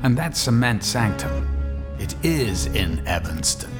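A man's voice: lowish voice, sing-song, measured pace, over music. A man narrating an audio drama in a scripted, dramatic storytelling style.

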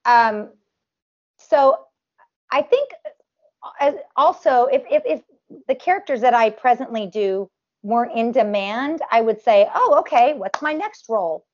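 A woman's voice: on the high side, expressive, measured pace. A woman who performs as a celebrity impersonator, chatting in an interview with a nasal voice and a rambling way of talking, full of fillers and restarts. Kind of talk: casual interview chat. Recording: dull lo-fi audio.

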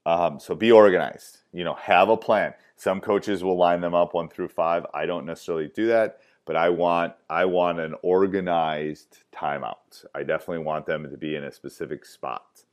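A man with a loud voice teaching basketball coaching tips, emphatic and repetitive, with frequent "um"s. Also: low-pitched, moderate pace, few pauses. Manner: emphatic, repeats key points, many fillers. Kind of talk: coaching clinic talk.

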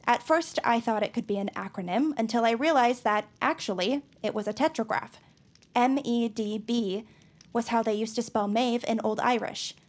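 A woman playing a nerdy, earnest, excitable young superfan in an audio drama, rambling through her explanations. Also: on the high side, normal speed, lightly acted. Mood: eager, showing off. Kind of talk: scripted audio drama acting.

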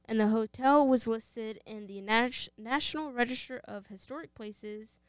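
A woman's voice: fairly high pitch, normal speed, clear, resonant tone, dry recording. A woman with a Texas twang, co-hosting a podcast, moving between casual chat and reading out research notes. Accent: American, Texas twang. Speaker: podcast co-host.

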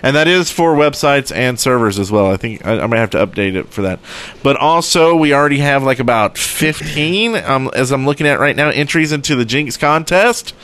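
A man with a clean, resonant voice of middling pitch. He co-hosts a podcast, talking in a relaxed conversational style as he passes along announcements. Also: fairly quick, smooth. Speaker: podcast co-host. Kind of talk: casual podcast chat and announcements.

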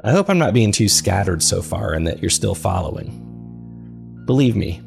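A man playing an earnest, uneasy paranormal podcast host, narrating a documentary-style recap in a measured, confiding way with a creaky, low voice. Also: a little mellow.

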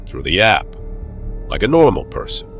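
A man's voice: low-pitched, very animated, normal speed, a bit bright, soft background music. A man playing a curt, domineering doctor in an audio drama, speaking in terse, dry commands. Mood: dismissive.

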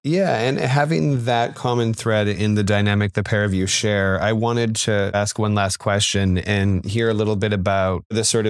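A man hosting an interview, asking questions in a measured, polished, attentive way. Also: on the low side, no room sound, clean studio sound. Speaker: interviewer host.

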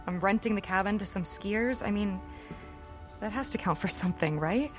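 A woman's voice: medium pitch, moderate pace, soft background music, lightly acted. A woman playing a weary, wry and guarded office worker with a sarcastic edge in an audio drama. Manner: dry, sarcastic. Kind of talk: audio fiction acting.

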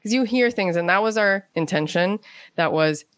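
A woman's voice: medium pitch, moderate pace, no room sound. A woman speaking as an interview guest, telling stories conversationally and acting out what people said. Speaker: guest, playwright.

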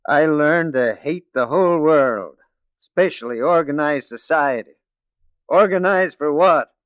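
An actor playing a bitter, self-possessed ex-convict forger with a clipped, worldly manner in a 1940s radio drama. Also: male voice, on the high side, measured pace, no room sound, close to an everyday voice. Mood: bitter, defiant. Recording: muffled 1940s radio recording.

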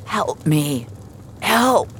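A woman's voice: sits low, big pitch swings, drawn-out words, a little hiss or hum, heavily acted. A woman voicing a desperate injured man begging for his life in an audio drama. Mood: panicked.

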